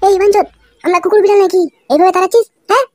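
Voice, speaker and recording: A man voicing a brash, quarrelsome cartoon buddy in slangy speech, with a pitched-up effect. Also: fairly even intonation, racing, background noise. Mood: angry. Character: foul-mouthed, quarrelsome young male cartoon buddy. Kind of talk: comedy cartoon dialogue. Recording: music bed under the dialogue. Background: music.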